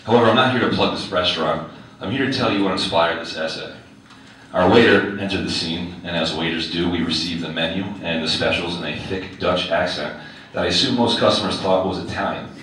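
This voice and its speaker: Deep-voiced man reading an essay in a hall. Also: brisk, fairly bright tone. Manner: wry, conversational, asks the audience direct questions. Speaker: teacher reading his own essay on stage. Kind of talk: reading a personal essay aloud to a live audience. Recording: very echoey hall, distant mic, faint noise.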